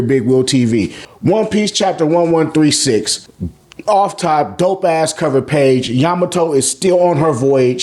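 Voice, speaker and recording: Higher-voiced American man, casual slangy recap. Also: a little mellow. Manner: profane, informal, acts out characters' lines. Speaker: YouTube host reviewing manga chapters.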